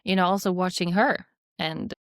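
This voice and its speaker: A woman's voice: lowish voice, steady tone, moderate pace, no echo, studio quality. A woman in her thirties with a Swedish accent, a podcast guest reflecting and rambling with fillers. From Sweden. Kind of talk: casual interview chat.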